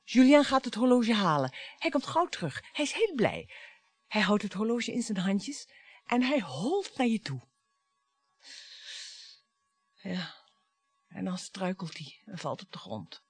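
A woman's voice: medium pitch, big pitch swings, normal speed, breathy edge, dry recording. An actress in a radio play playing a bitter, taunting middle-aged wife and mother, sarcastic and dramatic. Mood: mock-sweet, accusing. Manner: sarcastic, dramatic, swinging between hushed and biting.

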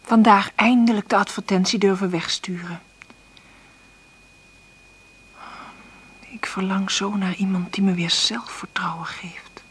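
A husky, breathy middle-aged woman in a naturalistic radio play. She is an insecure, tender mother who hesitates and corrects herself, often half-whispering as if thinking aloud. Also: mid-range voice, fairly even intonation, normal speed. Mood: wistful. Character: insecure, struggling divorced mother, tender and nervous. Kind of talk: naturalistic radio play acting with intimate monologue.

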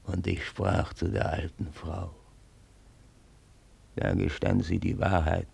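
Sly one-eyed retainer, radio play. Very gravelly, slow, solemn and archaic in phrasing. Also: male voice, low voice, little pitch movement, a little mellow, heavily acted. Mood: grave, conspiratorial. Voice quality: gravelly, husky. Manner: measured, ceremonious, archaic storytelling. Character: sly one-eyed male servant reporting to his raja. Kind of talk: solemn German radio drama acting.

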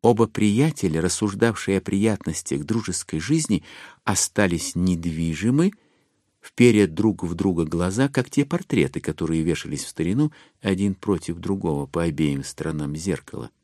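A man narrating a literary audio drama in an expressive, wry storytelling style. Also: low voice, moderate pace, no room sound, studio quality.

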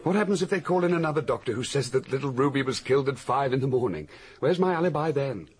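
An actor with an English accent playing an easygoing, cynical widower in a radio drama, frank and flippant in manner. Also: male voice, mid-range voice, expressive, fast talker, close to an everyday voice. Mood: wry, flippant. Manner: flippant, candid, wry. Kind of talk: British radio drama acting.

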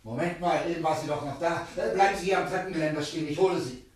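An actor playing a practical, protective doctor in a German radio play, speaking in a matter-of-fact but urgent manner. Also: male voice, fairly high pitch, very fast, slightly rough. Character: practical male doctor, level-headed, protective, wary.

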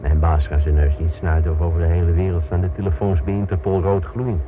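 An actor playing a brash, jovial American crook in a radio drama, breezy, familiar and talkative. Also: male voice, deep voice, little pitch movement, fast, music in the background, lightly acted. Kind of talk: Dutch radio drama acting. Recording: muffled, noisy old radio play tape.

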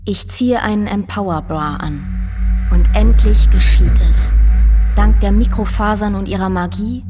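An actress playing an intense, defiant woman who narrates a literary radio drama in a deliberate, dramatic way. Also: mid-range voice, over music, lightly acted. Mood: defiant, triumphant.

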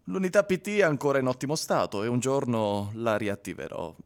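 An actor playing a haunted man recounting his ordeal in a measured, theatrical literary radio reading. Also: mid-range voice, lively intonation, natural voice. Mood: reflective.

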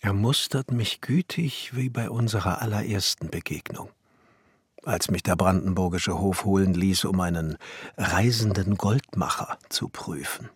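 Shrewd alchemist, low husky voice, hushed inner monologue, radio play, close and dry. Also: male voice, unhurried, no echo, full character voice. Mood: watchful, deferential. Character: shrewd court alchemist and glassmaker, deferential, calculating. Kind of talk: German radio drama monologue.

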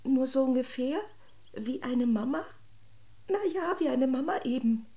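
An actress playing a haughty, dramatic but affectionate toy princess in a children's radio drama. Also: female voice, high voice, measured pace, mellow. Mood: hesitant. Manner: pompous, dramatic, exclaiming and lamenting.

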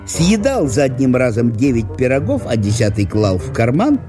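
A male narrator giving an expressive, theatrical reading of a literary story for radio. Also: mid-range voice, moderate pace, over music. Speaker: narrator, actor.

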